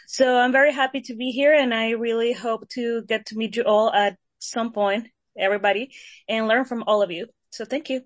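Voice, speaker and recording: A woman's voice: a little high, steady tone, normal speed, dry recording. Earnest woman from El Salvador. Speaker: community organizer. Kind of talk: public meeting self-introduction.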